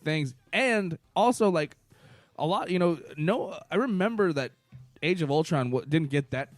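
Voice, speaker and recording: A man co-hosting a podcast, chatting casually and excitably about a film with friends, swearing freely. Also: fairly high pitch, unhurried, no room sound, studio quality. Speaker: podcast co-host.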